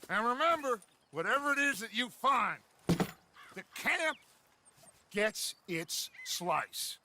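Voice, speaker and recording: A man's voice: very high voice, sing-song, slow pace, background noise, heavily acted. An actor playing a charismatic, middle-aged male outlaw gang leader in a video game, theatrical and commanding like an orator. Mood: rallying, commanding. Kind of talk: video game cutscene acting.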